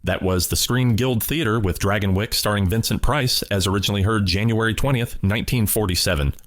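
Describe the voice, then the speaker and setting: A man hosting a radio show with a measured, scripted announcer delivery. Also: on the low side, a little hiss or hum. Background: loud music.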